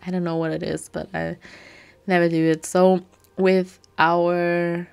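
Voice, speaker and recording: A woman's voice: fairly low pitch, unhurried, gravelly, clean tone, faint music behind. Chatty young woman narrating her chores. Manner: chatty, relaxed, many fillers. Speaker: homemaking vlogger. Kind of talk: casual voice-over narration.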